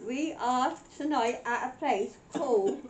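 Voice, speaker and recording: A high, chatty woman, her voice husky from a cold. She greets livestream viewers and giggles between remarks. She is recorded on a camera mic in a bare stone room that throws the sound back, with a faint hiss underneath. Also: a little slow, reverberant. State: voice weakened by a cold. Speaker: paranormal investigation host. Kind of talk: casual livestream chat, reading out viewer comments. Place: stone room in an old mine building at night.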